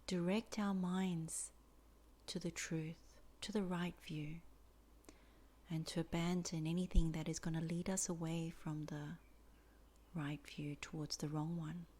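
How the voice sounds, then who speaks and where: Female meditation teacher, slightly low register, soft and breathy at phrase ends, measured and deliberate delivery. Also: flat delivery. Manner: slow, deliberate phrasing with many pauses. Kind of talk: guided meditation instruction.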